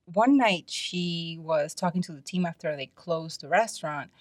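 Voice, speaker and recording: A woman's voice: on the low side, normal speed, dry recording, clean studio sound. A woman hosting a podcast solo, talking in a conversational, explanatory and opinionated way. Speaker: podcast host.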